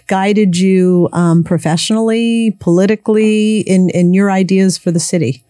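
A woman co-interviewing on a podcast, speaking warmly and proudly with gentle teasing. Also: medium pitch, fairly even intonation, a little slow, no echo, studio quality. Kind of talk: casual interview talk.

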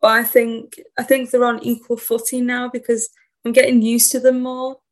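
A woman poet being interviewed in a casual chat, shy and soft-spoken, rambling a little as she talks. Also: on the high side, level tone, normal speed. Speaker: poet guest.

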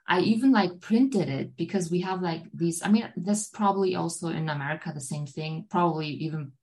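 A woman's voice: fairly low pitch, a little fast, darkish tone. Rambling woman. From Germany. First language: German. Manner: rambling, filler-heavy storytelling with many "like"s. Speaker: guest author. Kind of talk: casual podcast chat.

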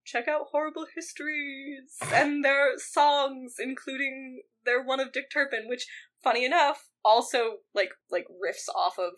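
A young woman with a bright, somewhat high voice chats easily as a podcast co-host. She giggles often and scatters fillers through her sentences. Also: unhurried. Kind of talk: casual chat.

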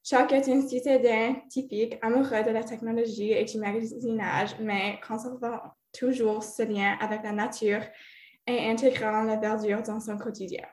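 A native English-speaking woman with an Ontario anglophone accent hosts a podcast in French. Her voice sits a little high and she reads in a careful, measured way. The recording sounds echoey. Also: steady tone, a little mellow. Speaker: podcast host. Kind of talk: reading scripted introduction, then interviewing.

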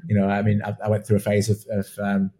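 A man's voice: lowish voice, completely flat delivery, quick pace, mellow. A man hosting an interview, chatty and affirming, often restarting his sentences. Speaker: podcast host. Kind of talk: conversational interview.

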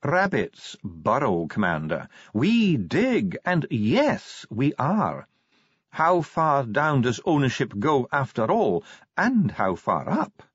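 A man's voice: mid-range voice, huge pitch swings, slow, breathy edge, no echo, lo-fi sound, voice pushed up, heavily acted. A man voicing a haughty dwarf official in an audiobook, pedantic and condescending, with cool, needling venom. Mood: smug calm. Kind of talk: audiobook character acting.

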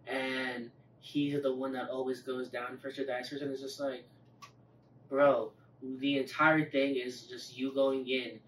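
Male podcast host, medium pitch, profane easygoing chat, echoey room sound. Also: little pitch movement, measured pace, fairly bright tone. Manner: profane, agreeable interjections. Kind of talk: casual chat. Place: echoey room, away from the mic. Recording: distant mics, echoey room, faint noise.

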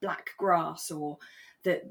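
A woman's voice: low-pitched, steady tone, slow pace, creaky, a bit bright. A British woman chatting informally as the co-host of a podcast. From England. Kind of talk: relaxed chat discussing a radio drama.

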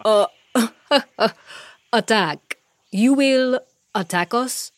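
A woman's voice: sits high, fairly even intonation, slow, no room sound, voice pushed up. A woman voicing a boastful, cruel male monkey king who cackles and blusters, then stammers when frightened. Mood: mocking.

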